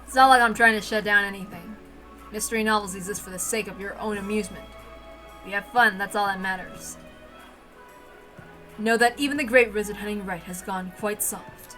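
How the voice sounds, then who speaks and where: A woman's voice: mid-range voice, a little fast, bright, forceful tone, soft background music, voice pushed down, natural voice. A woman voicing a composed, stern man who speaks as a seasoned expert in a story reading. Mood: calm.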